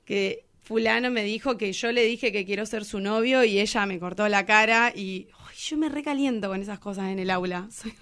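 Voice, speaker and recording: A woman with an Argentine accent, a guest telling animated anecdotes in slang during a casual radio interview. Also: a little high. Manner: animated storytelling, quoting dialogue in slang. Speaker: guest, singer and teacher.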